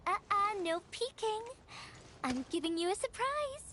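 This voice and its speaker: A woman voicing a gentle, playful young courier in a video game, with a soft, teasing and earnest delivery. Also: very high voice, sing-song, unhurried, a little hiss or hum, full character voice. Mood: playful, encouraging.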